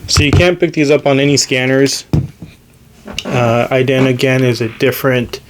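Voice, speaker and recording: A man's voice: medium pitch, fairly even intonation, moderate pace, background noise. A man giving a casual, hands-on gadget demonstration as host, talking in a hesitant, unscripted, self-deprecating way. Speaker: host demonstrating radio gear.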